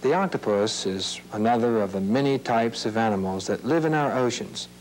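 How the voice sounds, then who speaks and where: A man reading scripted nature narration for children in a measured, explanatory, plain-worded way. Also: on the low side, animated, darkish tone, low-quality recording. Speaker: narrator of an educational film series. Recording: hissy, humming old videotape soundtrack.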